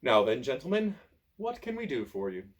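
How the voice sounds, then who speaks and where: A man voicing a calm, genial old male wizard who drawls with dry amusement in a storybook. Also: medium pitch, normal speed. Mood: wry.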